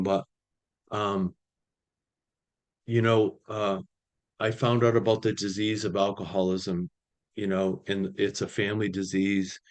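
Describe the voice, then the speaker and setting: A man, sharing his recovery story at a meeting over a video call, halting with many fillers. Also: lowish voice. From Boston area, US. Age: 60s. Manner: halting, many ums and you-knows, restarts. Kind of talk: personal recovery share.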